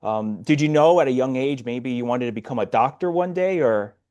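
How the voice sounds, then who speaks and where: A man's voice: medium pitch, moderate pace, a little mellow. A man from Nicaragua, raised in the D.C. area, who hosts the interview and asks friendly, curious questions. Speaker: host. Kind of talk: casual interview.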